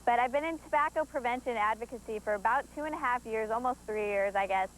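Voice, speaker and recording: A woman's voice: a little high, fast, a little hiss or hum, low-quality recording. A seventeen-year-old young woman from Washington state, casually introducing herself as a youth advocate. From Washington state, USA.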